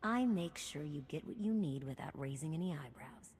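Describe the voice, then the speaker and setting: An actress playing a wry, cocky smuggler in a video game, talking in a folksy, sardonic way. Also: female voice, sits low, lively intonation, moderate pace, darkish tone, breathy edge. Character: brash, wry female smuggler running an underworld supply chain. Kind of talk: scripted video game dialogue. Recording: reverberant hall with background hum.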